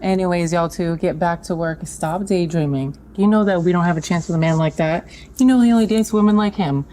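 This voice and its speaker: Woman acting bossy female colleague, lowish pitch, dark tone, dismissive, faint ambience, audio drama. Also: a little fast, a little hiss or hum, natural voice.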